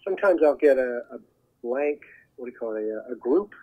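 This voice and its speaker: A man's voice: medium pitch, lively intonation, fairly quick. Man on a muffled phone line. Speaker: voiceover talent agent, podcast guest. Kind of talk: conversational interview advice. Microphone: telephone call.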